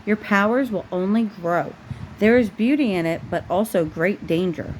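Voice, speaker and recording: A woman plays a very old troll sage from a storybook. The counsel comes gravely and with measured care, at a medium female pitch. Faint noise sits behind the words. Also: natural voice, poor audio. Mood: solemn warning. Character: very old male troll elder, wise and grave. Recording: noticeable background noise.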